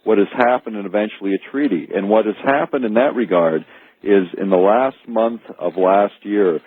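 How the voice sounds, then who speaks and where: An American man speaking as an author guest in a radio interview, explaining earnestly and haltingly, repeating words as he goes. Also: lowish voice, husky, dry recording. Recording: narrow phone-like archive radio audio.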